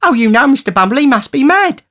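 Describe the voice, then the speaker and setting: List: man voicing a woman, middle-aged, shrill, indignant, storybook. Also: sing-song, quick pace, pushed and bright, exaggerated voice. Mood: shocked. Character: shrill, sanctimonious middle-aged woman, a harsh undertaker's wife.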